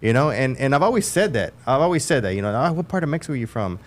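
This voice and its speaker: A man's voice: medium pitch, sing-song, rapid-fire. A man in his forties from Houston with a Texan accent, a realtor co-hosting a podcast, talking emphatically with lots of restarts and slang. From Houston, Texas. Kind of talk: casual podcast chat.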